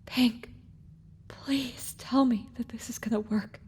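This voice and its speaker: A woman's voice: high-pitched, lively intonation, slow, slight background noise. A woman playing a sick, desperate young pony mare in an audiobook drama, weary and weakened. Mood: strained, desperate. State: weakened, wheezing.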